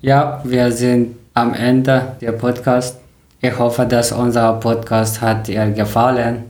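Mann aus Afghanistan als Podcast-Moderator, vorsichtiges Lerner-Deutsch. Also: male voice, medium pitch, level tone, a little mellow, roomy sound. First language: not German. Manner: careful, learner grammar, slightly halting. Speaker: podcast host. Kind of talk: reading prepared talk in learner German.

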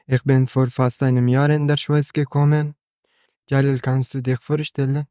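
A man, a student guest speaking in measured, plain short sentences on a school podcast. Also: mid-range voice, level tone, dark tone, clear, resonant tone, no echo, studio quality. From Afghanistan.

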